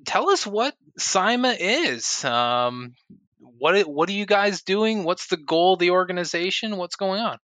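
A man's voice: high-pitched, expressive, unhurried, bright, forceful tone, dry recording. A man co-hosting a casual podcast, upbeat and friendly, with plenty of fillers. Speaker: podcast co-host.

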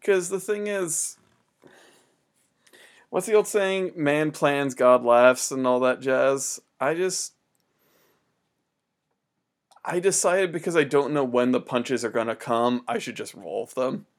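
A man's voice: medium pitch, a little slow. A man in his thirties co-hosting a podcast, talking in an easygoing, laid-back way with joking asides. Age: 30s. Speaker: podcast co-host. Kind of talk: casual podcast chat.

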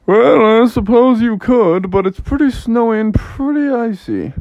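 A man's voice: very high voice, sing-song, measured pace, no room sound, highs cut off, pitched above the performer's own voice, exaggerated voice. Guy playing a goofy careful dad in a kids story, hammy, voice goes up and down a lot. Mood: wary, relenting. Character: good-natured, goofy cautious dad. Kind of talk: children's story character voice.

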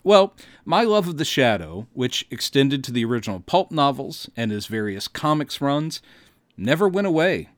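A man's voice: mid-range voice, no echo. A middle-aged American man hosting a podcast, reading scripted first-person narration in a wry, theatrical storytelling manner. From Texas, United States. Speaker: podcast host and director.

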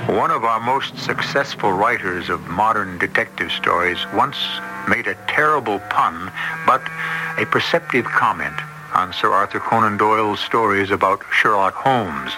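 Lowish-voiced male radio program host. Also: normal speed, over music, rough recording. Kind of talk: scripted radio introduction.

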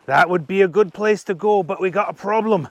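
A man's voice: high voice, fairly quick, dry recording, muffled recording. A man voicing an eager, kindly young adventurer, earnest and colloquial, in a children's story.

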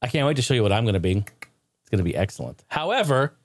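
A man hosting a podcast in casual comedic chat, talking in a jokey, excitable, informal way. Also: mid-range voice, fast. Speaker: podcast host.

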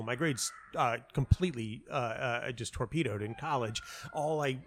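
An American man in a relaxed, reflective interview conversation. He talks as a guest telling stories, circling back, repeating words and breaking off mid-phrase. His voice sits at an ordinary middle pitch for a man. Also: slight background noise. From United States (North Carolina). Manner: halting, self-interrupting, rambling storyteller with repeated words. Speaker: interview guest, playwright and audio dramatist. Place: hotel lobby.

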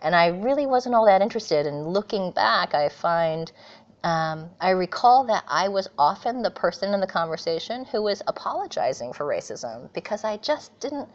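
A middle-aged American woman from California, answering interview questions earnestly and thoughtfully, pausing often with fillers. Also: mid-range voice, big pitch swings. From California, USA. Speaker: guest. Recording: dull lo-fi remote audio.

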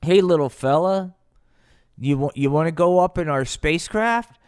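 A man's voice: on the high side, moderate pace, a little mellow, smooth, pitched above the performer's own voice, natural voice. A man voicing a laid-back, chummy space alien with a casual, folksy manner. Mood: inviting.

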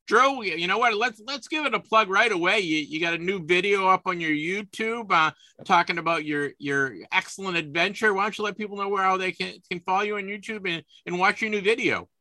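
Bright-voiced man in his fifties, dry sound. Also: high-pitched, brisk, hardly any pauses, dry recording, poor audio. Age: 50s. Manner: chatty, repeats words and restarts often. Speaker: podcast host. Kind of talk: casual sports podcast chat.

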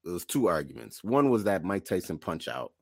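Animated man, casual podcast banter. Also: deep voice, a little fast, no room sound, studio quality. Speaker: podcast co-host.

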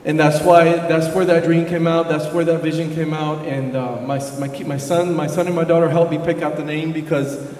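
Pastor guy, earnest, trips over words a bit, slightly high voice, echoey church room. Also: level tone, moderate pace, a little mellow. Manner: earnest, with restarts. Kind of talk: on-stage church interview. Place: large church sanctuary. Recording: roomy echoing church hall, faint background noise.

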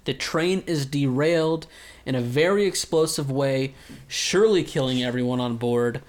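A man's voice: mid-range voice, moderate pace. A man co-hosting a casual anime recap show, retelling scenes with energy and joking asides. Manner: animated recapping, puts on mock villain voices. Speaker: podcast co-host.

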